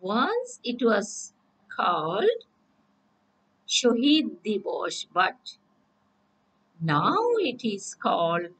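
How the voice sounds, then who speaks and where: A woman's voice: mid-range voice, very animated, fairly bright tone, slightly rough, poor audio. A woman teacher, giving a recorded English lesson and often checking in with "okay?". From Bangladesh. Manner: slow, repeats "okay?" to check understanding.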